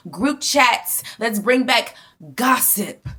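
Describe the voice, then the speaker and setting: A woman's voice: fairly high pitch, slow, sharp tone. A young adult woman co-hosting a podcast, talking bluntly and emphatically with plenty of profanity in casual chat. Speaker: podcast co-host.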